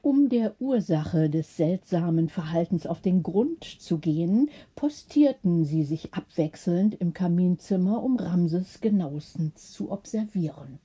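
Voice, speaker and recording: Very mellow, husky woman storyteller. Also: fairly low pitch, animated, measured pace, few pauses. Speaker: audiobook narrator. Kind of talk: scripted bedtime story narration.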